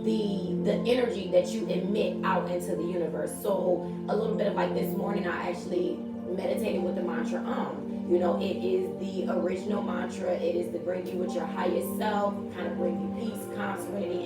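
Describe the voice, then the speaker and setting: Raspy-voiced woman reading tarot over loud music. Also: medium pitch, distant mic. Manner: chatty and spiritual, addresses viewers directly. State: slightly raspy, scratchy voice. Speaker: tarot reader. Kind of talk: casual daily tarot reading. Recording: very echoey room, voice off-mic, loud music behind.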